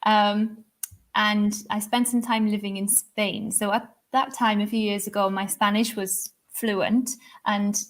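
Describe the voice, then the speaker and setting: A woman's voice: medium pitch, steady tone, measured pace. Chatty Welsh woman guest, laughing often. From Wales. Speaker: guest opera singer. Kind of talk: casual interview chat.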